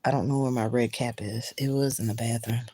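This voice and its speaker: Woman, low husky voice, drowsy livestream chat from bed, phone mic. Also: steady tone, a little fast. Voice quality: husky, breathy voice. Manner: drowsy, drifting between murmurs and sudden loud laughs. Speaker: livestream host. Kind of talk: casual livestream chat with viewers. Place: in bed at home. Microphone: phone camera.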